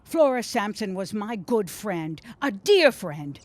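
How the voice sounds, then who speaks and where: An actress playing a scornful, righteous shopkeeper with a biting, sarcastic manner in a period audio drama. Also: female voice, medium pitch, big pitch swings, slow pace, slight background noise. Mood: bitter indignation. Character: scornful, righteous married shopkeeper woman, bitterly outspoken.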